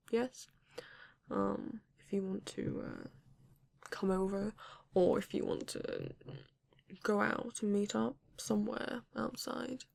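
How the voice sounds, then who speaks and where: A woman playing a courteous, bashful vampire in an intimate ASMR roleplay, speaking haltingly and formally with a gravelly, creaky voice. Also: mid-range voice, full character voice. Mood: tender, polite. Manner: hesitant, halting, formal yet endearing. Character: courteous, bashful vampire living alone in a castle.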